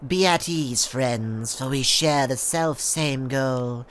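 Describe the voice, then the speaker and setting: A man with a stuffy nose reading the lines of a calm young female scholar from a fantasy game. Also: mid-range voice, slow. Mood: reassuring.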